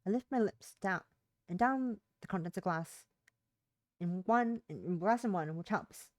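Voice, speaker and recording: A woman's voice: mid-range voice, normal speed, darkish tone, no echo. A woman livestreamer reading a visual novel's narration aloud, stumbling over words and rereading phrases, with brief casual asides.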